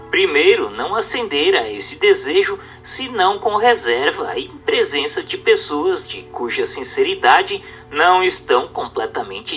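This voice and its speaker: A man's voice: on the high side, a bit bright. A man reading a religious text as scripted narration, in a formal, instructive and carefully phrased manner.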